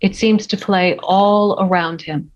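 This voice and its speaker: A woman's voice: mid-range voice, fairly even intonation, unhurried. A woman reading scripted screenplay stage directions as narrator at a table read. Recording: dull, lo-fi remote audio.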